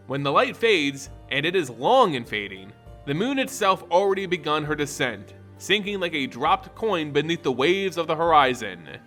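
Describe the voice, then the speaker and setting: A man reading a fantasy story as an expressive, dramatic narrator with deliberate phrasing. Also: fairly high pitch, soft background music.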